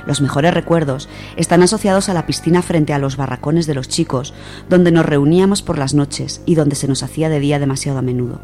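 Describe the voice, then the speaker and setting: A woman's voice: deep voice, brisk, a little mellow, faint music behind. A woman with an accent from Spain, presenting and reading on a literary radio segment. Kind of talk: radio talk and reading of a first-person short story.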